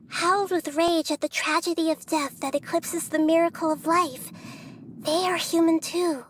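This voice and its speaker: A woman voicing a young, childlike goddess in a video game, gentle, measured and thoughtful. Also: sits high, slight background noise. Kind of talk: video game cutscene dialogue.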